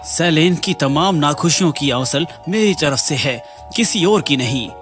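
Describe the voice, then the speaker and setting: A man voicing a remorseful, lovelorn vampire in an animated fairy tale, earnest and apologetic. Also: fairly high pitch, unhurried, soft background music. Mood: grave. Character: remorseful, lovelorn male vampire, self-blaming.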